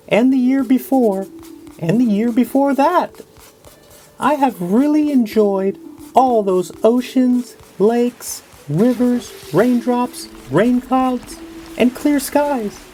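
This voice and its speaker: A woman's voice: fairly high pitch, mellow, slight background noise. A woman voicing a devoted blue crayon in an earnest storybook read-aloud.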